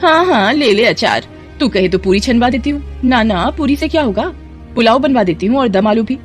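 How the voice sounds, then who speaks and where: A woman's voice: a little high, animated, normal speed, pitched above the performer's own voice, lightly acted. A woman voicing a spiteful, stingy aunt in a moral story, sarcastic and scolding. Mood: mocking. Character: spiteful, sarcastic, stingy aunt, bullying her orphaned niece. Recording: music bed behind the voice.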